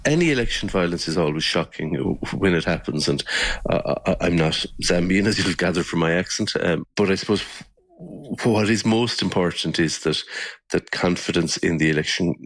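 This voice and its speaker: A man with an Irish accent answering questions in a news interview, speaking haltingly and often repeating words mid-sentence. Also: low voice, no room sound. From Ireland. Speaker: election observer and politician giving interview.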